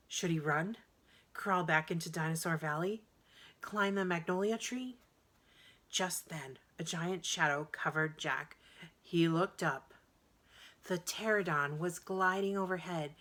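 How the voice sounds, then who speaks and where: A woman reading a children's adventure story aloud in an expressive, dramatic narrating style. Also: lowish voice. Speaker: storybook reader.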